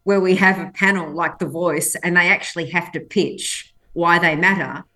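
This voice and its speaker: A woman's voice: fairly low pitch, steady tone, normal speed, sharp tone. A woman whose first language isn't English, telling personal stories emphatically as an interview guest and acting out quotes. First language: not English. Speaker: podcast guest. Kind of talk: conversational interview storytelling.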